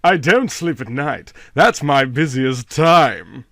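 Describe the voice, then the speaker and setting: A man voicing an uncanny feral boy of sixteen in a storybook, lazy and mocking, patronising and slowly savouring words. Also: mid-range voice, animated, fairly bright tone, dry recording, studio quality. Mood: lazy, smug.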